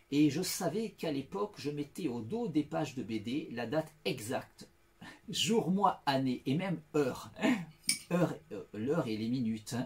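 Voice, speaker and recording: A man's voice: medium pitch, darkish tone. A middle-aged man talking through a meandering personal monologue, full of restarts, fillers and repeated phrases. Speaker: self-styled philosopher.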